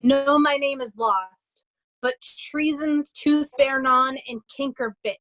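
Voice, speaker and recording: A wronged noble knight, played by a woman, with a high and forceful voice. Shakespearean verse comes out slowly and deliberately, as a righteous challenge. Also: rough recording. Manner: deliberate, declamatory. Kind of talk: Shakespearean reader's theatre.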